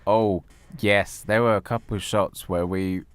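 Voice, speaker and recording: Low-pitched man chatting about films. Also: fairly even intonation, a little slow, clear, resonant tone, no echo. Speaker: podcast co-host. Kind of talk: casual film discussion.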